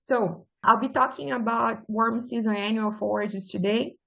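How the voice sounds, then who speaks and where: A woman with a Brazilian Portuguese accent, Portuguese her first language, presents on pasture forages. She speaks in an earnest, explanatory way, with frequent "uh" pauses and a slightly raised pitch. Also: fairly even intonation, creaky, pushed and bright, rough recording. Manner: many "uh" fillers, earnest and explanatory. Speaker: forage extension specialist. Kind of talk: webinar presentation.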